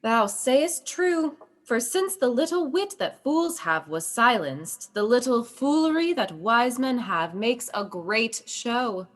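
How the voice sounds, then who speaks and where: A witty, loyal young princess in a Shakespearean radio drama. Her voice sits fairly high as she delivers classical dialogue. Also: lively intonation, slow pace, few pauses. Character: young princess, loyal, bright-witted cousin. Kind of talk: Shakespearean radio play acting.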